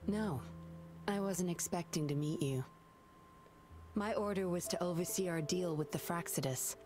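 An actress voicing a composed young woman investigator who speaks in a calm, measured, slightly teasing way in a game. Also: fairly low pitch, over music, natural voice. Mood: explaining.

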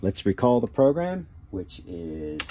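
A man with a low, mellow voice gives a casual hands-on demonstration. He halts and thinks aloud as he goes. There is clear background noise and key clicking in his workshop recording. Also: big pitch swings, unhurried. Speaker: hobbyist demonstrating a robot. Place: small cluttered workshop indoors.

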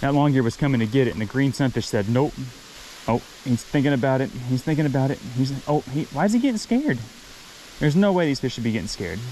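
An enthusiastic man talks through a fishing trip at a rocky waterfall pool, chatty and quick to laugh. His voice sits in a middle range, picked up by a camera he wears, with faint outdoor noise around him. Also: medium pitch, lively intonation, dark tone, low-quality recording. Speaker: fishing channel host. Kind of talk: excited outdoor vlog commentary. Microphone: head-mounted camera mic.

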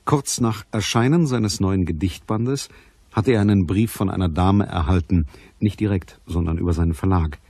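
An actor playing a sceptical private detective in a radio play, speaking in a dry, measured, matter-of-fact way. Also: male voice, deep voice, warm tone, breathy edge, dry recording. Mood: dry, narrating. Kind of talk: radio play narration and dialogue.

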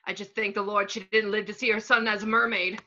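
A woman playing a gruff, disapproving coal-miner father in an amateur script read over a video call. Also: mid-range voice, flat delivery, fast talker, pushed and bright, lightly acted. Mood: bitter, scornful. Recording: slightly dull video-call audio.

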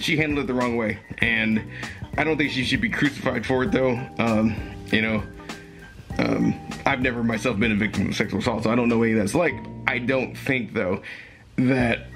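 Young man going hoarse, earnest and halting. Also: medium pitch. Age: young adult. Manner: hesitant, earnest, with fillers and restarts. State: losing his voice, hoarse. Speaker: commentary YouTuber. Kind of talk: reading aloud and commenting. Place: small room at home, green backdrop. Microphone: webcam close up.

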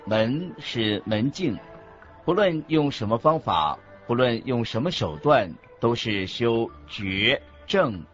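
A man's voice: mid-range voice, animated, slow, a bit bright. A man reading scripted religious teaching for a radio drama in a careful, didactic manner. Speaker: narrator voicing a monk's teachings. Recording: muffled audio with music bed. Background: background music.

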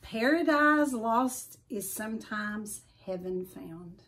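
A middle-aged woman reading a children's story aloud with deliberate, expressive emphasis. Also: on the high side. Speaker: storybook reader. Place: small furnished room at home.

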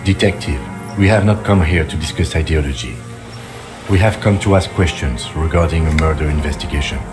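An actor playing a calm police lieutenant in a video game, composed, precise and formal. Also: male voice, extremely low pitch, brisk. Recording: loud music behind the voice. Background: music.

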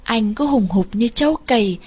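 High-voiced woman storyteller. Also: lively intonation, moderate pace, husky, muffled recording. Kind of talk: scripted fairy tale narration.

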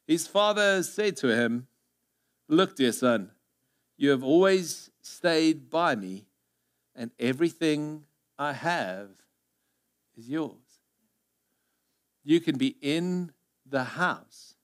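South African pastor with a high voice. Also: male voice. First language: Afrikaans. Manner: earnest, gesturing broadly, swings from loud emphasis to hushed. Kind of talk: sermon. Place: church stage indoors. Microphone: headset mic.

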